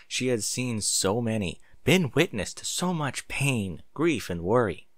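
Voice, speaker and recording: Expressive male storyteller, very animated pitch. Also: measured pace, dry recording. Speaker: fan fiction narrator. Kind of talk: expressive story narration with candid asides.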